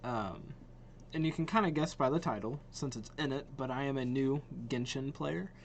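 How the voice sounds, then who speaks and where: A man's voice: medium pitch, normal speed, a little hiss or hum. A man hosting a casual reaction vlog, talking informally and enthusiastically, with some hesitation. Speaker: video host reacting to game trailers.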